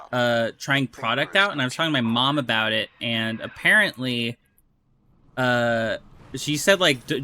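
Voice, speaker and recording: A man's voice: medium pitch, pushed and bright. A man streaming a video game with friends, chatting casually and rambling with lots of restarts and jokes. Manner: rambling, joking, with many restarts. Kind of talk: casual gaming chat.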